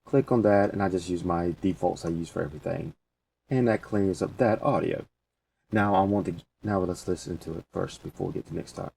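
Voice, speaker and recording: A man presenting a casual software tutorial, talking through each step as he goes in informal, folksy phrasing. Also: sits low, a little fast, creaky. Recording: distant mic with faint background noise.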